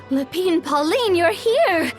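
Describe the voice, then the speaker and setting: A woman voicing an excitable, cheerful young girl in an anime game, with music behind her. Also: very high voice, slow, heavily acted. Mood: excited.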